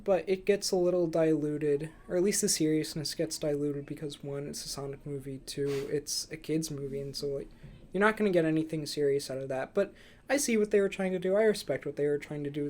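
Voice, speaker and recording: A man's voice: fairly high pitch, a little fast, a little mellow. A young man hosting a casual movie review podcast, chatting with dry, flippant humour. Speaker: podcast host.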